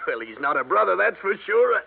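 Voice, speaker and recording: A jovial, wheedling male insurance man in an old radio drama. His folksy voice is fairly high and leaps about wildly in pitch. The recording is narrow and tinny, with some noise. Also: big pitch swings, a little fast, gravelly, breathy edge, full character voice. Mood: casual, eager. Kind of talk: 1950s radio drama acting.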